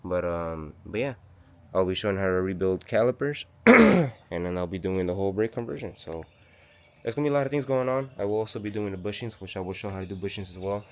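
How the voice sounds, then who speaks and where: A man's voice: low-pitched, lively intonation, fast talker. A man hosting his own car-repair channel in a home garage. He is sick and losing his voice, and he talks in a casual, rambling way, correcting himself as he walks through a how-to. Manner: rambling, self-correcting, slangy. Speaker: DIY car channel host.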